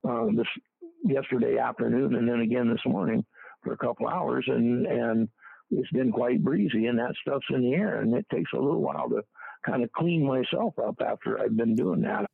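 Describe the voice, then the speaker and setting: Gravelly older man, tinny phone-like sound. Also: medium pitch, expressive, dry recording. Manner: halting, with many fillers and restarts. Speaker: interviewee. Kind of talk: casual recollection.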